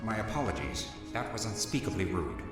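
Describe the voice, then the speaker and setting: A man's voice: fairly low pitch, sing-song, brisk. A man playing a stern, concerned Jedi master who speaks formally and solemnly in a comic dub. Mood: apologetic, worried. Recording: echoey, distant, music underneath.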